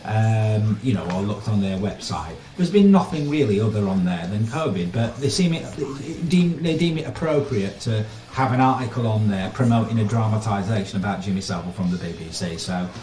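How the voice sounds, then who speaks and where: A man's voice: lowish voice, animated, fairly quick, hardly any pauses, warm tone, slight background noise. A man giving casual, sardonic and incredulous commentary on the news, often tossing out rhetorical questions. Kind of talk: casual opinion commentary, reading an article aloud. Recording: echoey room, mic at a distance.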